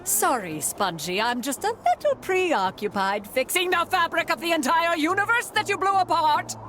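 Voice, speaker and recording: A woman playing a wry, exasperated, dramatic fortune teller in an animated game cutscene. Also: very high-pitched, moderate pace, background noise. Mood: sarcastic, exasperated. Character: exasperated, sarcastic female mystical fortune teller.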